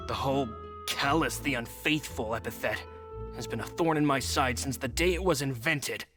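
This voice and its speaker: A man's voice: medium pitch, normal speed, over music, lightly acted. A man playing a loyal, respectful gang henchman in a video game, earnest and deferential. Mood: loyal, solemn. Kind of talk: scripted video game voice acting.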